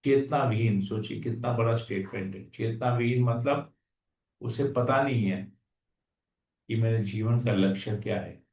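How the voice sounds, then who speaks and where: A man's voice: mid-range voice, normal speed, a little mellow. A man reading scripture verses aloud and then explaining them in plain conversational talk. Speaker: scripture class speaker. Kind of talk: Hindi scripture reading with commentary. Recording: echoey room, far from the mic.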